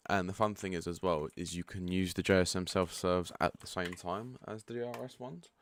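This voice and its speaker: Croaky deep-voiced man explaining software. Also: a little fast, a little hiss or hum. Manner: relaxed and chatty, frank asides. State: croaky, just woken up. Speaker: product developer giving a setup tutorial. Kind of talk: casual screen-share walkthrough.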